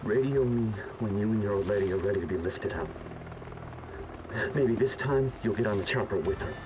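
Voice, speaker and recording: An actor playing a stern, defensive military officer in a video game, speaking in a clipped, forceful way. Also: male voice, on the low side, fairly quick, noisy background, close to an everyday voice. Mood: angry, defensive. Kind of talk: dramatic video game acting.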